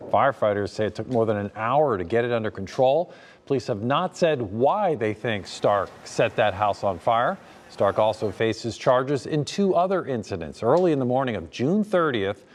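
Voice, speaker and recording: Lively male news reporter. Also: mid-range voice, in a large hall.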